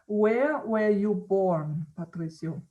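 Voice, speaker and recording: A woman interviewer on a video call who speaks haltingly, repeating words and laughing often. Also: mid-range voice, expressive, mellow, dull audio. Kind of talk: casual conversation.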